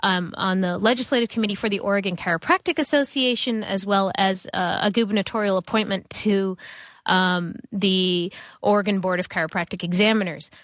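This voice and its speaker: Canadian woman, halting radio guest. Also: medium pitch, steady tone, a bit bright, dry recording, clean studio sound. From Toronto, Canada. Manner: thoughtful, halting, with fillers and restarts. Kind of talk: interview answers. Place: radio studio.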